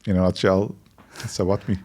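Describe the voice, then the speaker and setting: A man of about fifty from Turkey with a Turkish accent, answering interview questions reflectively with halting phrasing. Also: sits low, flat delivery, a little mellow, slight background noise. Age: around 50. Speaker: interview guest, technology entrepreneur.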